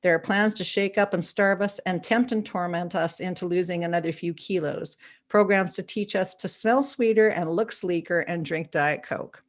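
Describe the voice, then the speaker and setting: A woman's voice: on the low side, normal speed. A woman from Canada hosting an interview, effusive and chatty. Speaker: interview host. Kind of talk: conversational interview.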